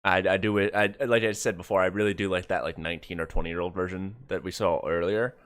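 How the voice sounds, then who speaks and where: Male streamer, deep and dry-miked, casual reviewer commentary that stumbles and circles back over words. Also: steady tone, fairly bright tone, dry recording. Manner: halting, repeats words mid-thought. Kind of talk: casual live reaction commentary.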